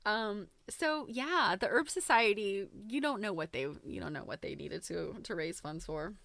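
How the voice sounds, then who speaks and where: A woman host chatting casually on a livestream in an informal, halting way, thinking aloud as she goes. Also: mid-range voice, animated, vocal fry, fairly bright tone, no room sound. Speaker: host of a live quilting book show. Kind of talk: casual livestream chat, commenting while browsing a book.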